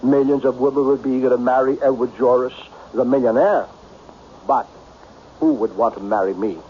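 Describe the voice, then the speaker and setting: An actor playing a lonely self-made millionaire, blunt, brooding and self-pitying, in an old-time radio drama style. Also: male voice, medium pitch, normal speed, natural voice. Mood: bitter, dejected. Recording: dull, noisy old radio broadcast.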